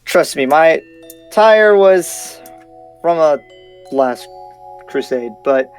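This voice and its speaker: A man's voice: fairly high pitch, animated, slow, soft background music. A man playing a blunt, skeptical, battle-worn demon slayer in an improvised anime game roleplay, speaking frankly and emphatically with repeated, restarted phrases. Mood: wary, doubtful.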